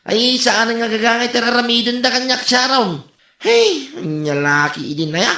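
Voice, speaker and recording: A man's voice: very high voice, animated, normal speed, pushed and bright, full character voice. An actor playing an exasperated working man pestered by neighbours in an Ilocano radio comedy, grumbling and exclaiming with an Ilocano accent. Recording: roomy echo.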